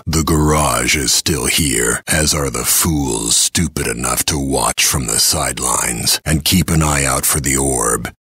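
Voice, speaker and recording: An actor playing a menacing, taunting game announcer, theatrical and sardonic, with a gravelly raspy voice. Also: male voice, a bass voice, fairly bright tone, no echo, exaggerated voice. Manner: ominous, sardonic, dramatic delivery. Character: menacing, taunting male arena announcer for deadly car combat.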